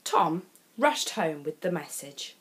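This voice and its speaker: A woman reading a children's storybook in an expressive, deliberate way with dramatic phrasing. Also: sits low. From England.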